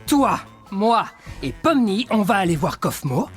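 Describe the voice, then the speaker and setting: Smug, wildly swooping cartoon prankster. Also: male voice, sits high, sing-song, measured pace, soft background music. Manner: smug, sarcastic, teasing. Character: mischievous, cynical male cartoon prankster who enjoys others' misfortune. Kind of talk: French-dubbed animated series acting.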